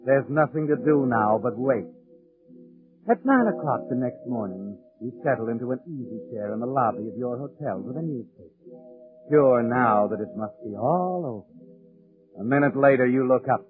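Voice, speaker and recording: A man's voice: medium pitch, expressive, normal speed, over music, close to an everyday voice. A man as ominous narrator, tinny old radio. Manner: dramatic, insinuating. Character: ominous, taunting all-knowing mystery narrator. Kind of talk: 1940s radio suspense narration in second person. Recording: tinny 1940s radio recording.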